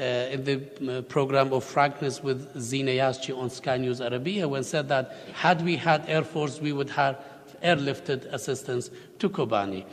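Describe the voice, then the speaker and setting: A man giving measured, diplomatic remarks as a panel speaker in a conference hall. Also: mid-range voice. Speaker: panel speaker for a regional government. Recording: long reverb of a conference hall.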